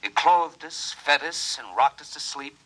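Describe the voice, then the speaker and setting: A man's voice: a little high, lively intonation, a little slow, bright, forceful tone, exaggerated voice, rough recording. An actor playing a troubled suburban father who shifts between dazed and easygoing, in 1950s radio drama style. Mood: dazed, anguished. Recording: vintage radio broadcast recording.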